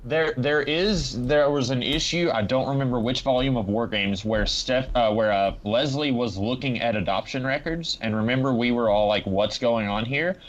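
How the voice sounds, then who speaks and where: A man co-hosting a casual comic book discussion, reasoning things through in a measured, analytical way. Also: medium pitch. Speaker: podcast co-host. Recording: slightly dull, lo-fi audio.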